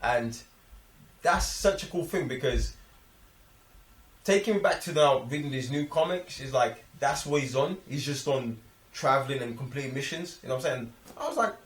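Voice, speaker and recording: A man's voice: medium pitch, lively intonation, moderate pace, far from the mic. A young man with a London accent chatting casually in heavy slang, often checking that listeners follow him. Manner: slangy, often seeking agreement. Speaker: film review podcast host. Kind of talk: casual film chat. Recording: echoey room, slightly off-mic.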